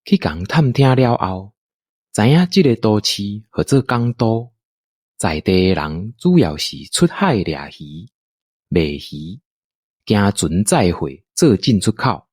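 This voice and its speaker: A man with a Taiwanese accent narrating a scripted audio drama in an unhurried, expressive storytelling manner. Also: fairly low pitch, breathy edge, no room sound, studio quality.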